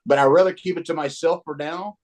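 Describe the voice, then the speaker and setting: A male insurance agent talking on a team call, brisk and folksy with quick sign-offs. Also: a little high, steady tone, darkish tone. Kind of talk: casual phone and team-call talk.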